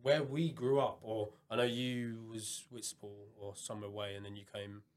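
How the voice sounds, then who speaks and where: A man from Kent, England, chatting casually as a podcast guest, animated and full of fillers. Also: on the low side. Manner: animated, quick bursts of excitement, many fillers.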